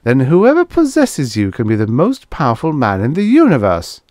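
A man's voice: mid-range voice, wildly swooping pitch, normal speed, darkish tone, no echo, clean studio sound, voice pushed up. A man voicing a greedy, stubborn and impatient space explorer in a science fiction audiobook, brash and dismissive. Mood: eager, impatient.

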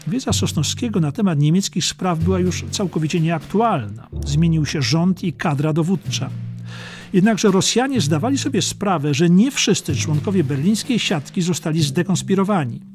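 Higher-pitched male history audiobook narrator. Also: expressive, moderate pace, over music.

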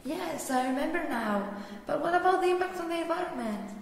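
A woman playing an inquisitive, studious girl in scripted educational cartoon dialogue, reading out explanations deliberately. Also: high voice, animated, vocal fry. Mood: questioning. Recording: very echoey room, long reverb.

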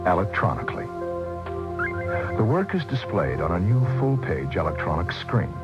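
Low-voiced man, dull old radio recording. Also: big pitch swings, moderate pace, over loud music. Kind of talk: scripted radio commercial narration, early 1980s.

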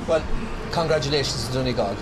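A man's voice: mid-range voice, fast talker, very noisy. An Irish man as a pundit, effusive and heaping up praise in post-match analysis. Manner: effusive, piles up superlatives. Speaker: studio pundit.

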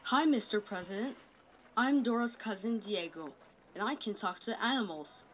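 A woman's voice: medium pitch, moderate pace, slight background noise, close to an everyday voice. A woman voicing an eager, helpful puppet boy who talks to animals, exclamatory and instructing. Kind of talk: live puppet show, children's programme parody.

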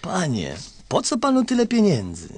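Self-important, animated passer-by. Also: male voice, sits high, normal speed, exaggerated voice. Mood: haggling. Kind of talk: satirical radio sketch acting.

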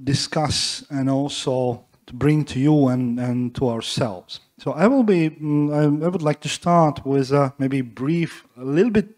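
A man, moderating a panel discussion into a handheld mic, halting with many restarts and fillers. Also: mid-range voice, a little mellow. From Latvia. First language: Livonian. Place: large hall with audience.

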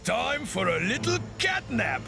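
An actor playing a gloating, theatrical supervillain in a cartoonish video game, mocking and grandiose in a drawn-out delivery. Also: male voice, very high voice, very animated, vocal fry, over loud music, heavily acted.

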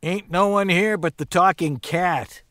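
A woman's voice: fairly low pitch, measured pace, fairly bright tone, dry recording, clean studio sound. An actress playing a childlike, rambling female squatter in an audio drama. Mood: spacey. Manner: spacey, rambling. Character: odd, childlike female squatter, fixated on shiny things.

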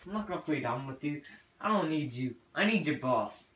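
A man's voice: fairly high pitch, animated, normal speed, fairly bright tone, echoey room. A man playing a bossy, blustering police officer in an amateur crime skit, with a theatrical, commanding delivery. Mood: menacing.

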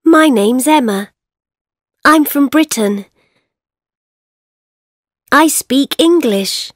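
A woman voicing children in a textbook dialogue for young learners, speaking carefully and clearly. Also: high-pitched, animated, very slow, no echo, studio quality, exaggerated voice. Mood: cheerful. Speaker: textbook audio voice actor.

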